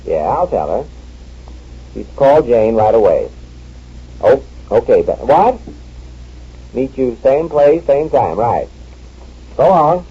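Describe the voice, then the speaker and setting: An actor playing a sly, wry husband who tells stories and takes a phone call, in 1940s radio acting style. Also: male voice, medium pitch, sing-song, slow pace, pauses often, slightly rough, exaggerated voice. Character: dry, wry scheming husband. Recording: dull lo-fi old radio recording.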